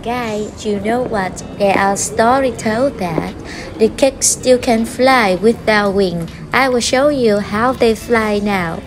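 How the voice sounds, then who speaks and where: A woman's voice: fairly high pitch, low-quality recording. A woman food vlogger with a Vietnamese accent, speaking English as a second language in a voice-over review with simple, friendly phrasing. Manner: simple non-native phrasing, slow and friendly. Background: background music.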